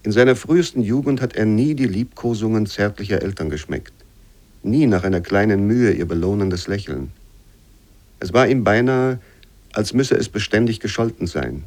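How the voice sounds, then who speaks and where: Sober reader of a bleak memoir for radio; lowish pitch, measured literary recitation. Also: male voice, lively intonation, darkish tone. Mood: sober, grave. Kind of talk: scripted literary reading for radio.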